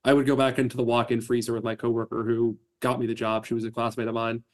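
Male co-host, slightly sick and sniffly, jokey and quick to laugh, casual indoor podcast chat. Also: mid-range voice, flat delivery. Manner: joking, laughs often. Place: indoors at home.

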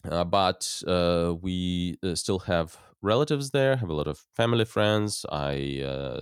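Very deep-voiced man interviewing thoughtfully. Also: clear, resonant tone, no echo, studio quality. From Ukraine. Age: 40s. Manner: thoughtful, searching for words. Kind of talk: conversational interview.

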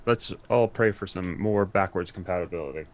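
A man's voice: sits low, fairly quick, dry recording. A man talking as a podcast guest in a casual, conversational style. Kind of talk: casual chat about anime and games.

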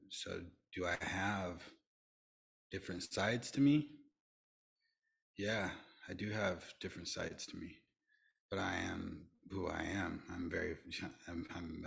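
A man's voice: low-pitched, creaky, fairly bright tone. A middle-aged man speaking earnestly as a podcast guest, often restarting and repeating himself. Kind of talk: reflective interview talk.

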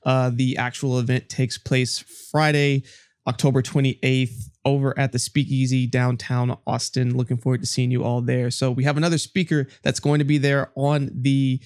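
A man's voice: medium pitch, level tone, normal speed, darkish tone, clean tone, no echo, studio quality. A man hosting a podcast, talking in an upbeat conversational way, interviewing a guest and reading promos. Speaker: podcast host. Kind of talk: casual interview and read ad.

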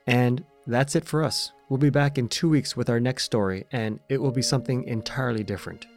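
A man's voice: mid-range voice, fairly quick, soft background music. A man hosting a podcast, reading a scripted outro with a song playing under his voice. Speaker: podcast host.

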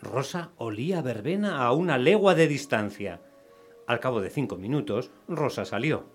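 A man's voice: mid-range voice, lively intonation, normal speed, faint music behind. A man narrating a scripted story for radio theatre.

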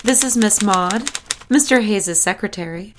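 A woman's voice: medium pitch, unhurried, close to an everyday voice. A woman playing a coolly polite secretary screening calls in a period audio drama. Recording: noisy line with typewriter clicks.

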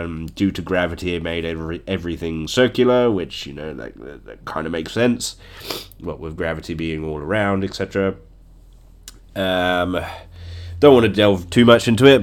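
A man hosting a casual podcast with a blocked nose from a cold, chatty and joking, chuckling often. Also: low voice. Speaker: podcast host. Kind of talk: casual solo podcast chat.

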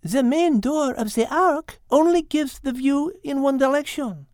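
A man voicing small, gentle storybook animals with an animated, lilting delivery. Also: very high-pitched, measured pace, dry recording, clean studio sound, pitched above the performer's own voice. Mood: curious, gentle.

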